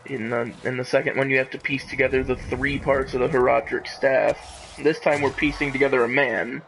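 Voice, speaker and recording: Creaky-voiced man streaming a game. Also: mid-range voice, level tone, moderate pace, background noise. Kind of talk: casual live gaming commentary. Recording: game music behind the voice. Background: video game music.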